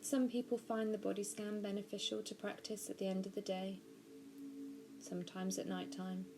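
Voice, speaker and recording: A woman narrating a guided body scan meditation, giving gentle, measured instructions slowly with pauses. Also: medium pitch, flat delivery, faint music behind. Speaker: mindfulness practitioner guiding a meditation.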